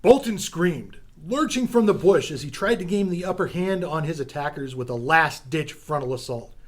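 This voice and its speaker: A man with a fairly high voice narrates an adventure radio drama. His delivery is theatrical and scripted, built for suspense. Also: lively intonation, moderate pace.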